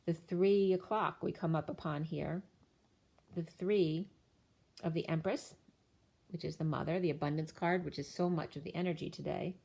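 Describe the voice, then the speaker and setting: Woman, tarot reader, lower voice, flowing chant-like listing, voice going hoarse. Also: steady tone, normal speed, dark tone. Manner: flowing, incantatory listing of cards and numbers. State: losing her voice. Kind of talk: spoken tarot reading.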